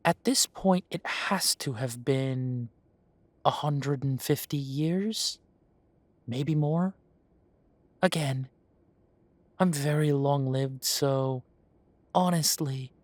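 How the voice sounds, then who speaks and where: A man playing a genial, long-lived elven café owner who reminisces in an unhurried, chatty way, in a roleplay audio drama. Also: medium pitch, dry recording, natural voice. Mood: genial, reminiscing. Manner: unhurried, musing, conversational with pauses.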